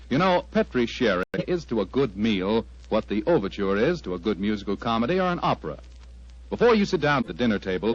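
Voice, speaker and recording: A man with an American accent hosting in 1940s radio style, polished and salesmanlike, in scripted announcements and chat. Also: medium pitch. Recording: dull old 1940s radio broadcast.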